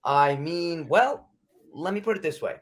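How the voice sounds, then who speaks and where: A man's voice: on the high side, animated, a little slow. A man answering interview questions in casual chat, rambling and self-conscious, hedging with plenty of fillers. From United States. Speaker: interview guest.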